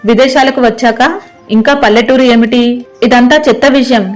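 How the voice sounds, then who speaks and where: A proud young woman played by a female storyteller retorts with scorn in a high voice. She is dismissive, and faint music runs behind the story. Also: fairly even intonation, brisk, lightly acted. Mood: scornful.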